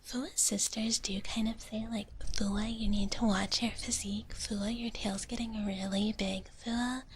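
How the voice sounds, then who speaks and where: A woman in a gentle dragon big-sister persona whispers softly and breathily close to the mic, chatting casually and cutely as an ASMR livestream host. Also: medium pitch, flat delivery, slight background noise. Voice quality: soft, breathy, mostly unvoiced whisper. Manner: slow, cutesy, gentle, refers to herself in third person. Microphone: close ASMR microphone.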